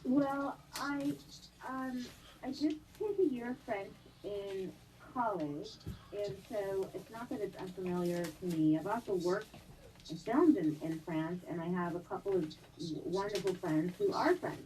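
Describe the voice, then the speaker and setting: A woman actress being interviewed on a live stream, chatty and animated, laughing often. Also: mid-range voice, measured pace. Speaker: guest actress. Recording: distant mic with faint noise.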